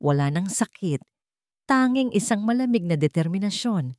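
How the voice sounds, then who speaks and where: A woman's voice: mid-range voice, lively intonation, moderate pace, darkish tone, no echo, clean studio sound. A woman storyteller reading a scripted dramatic story.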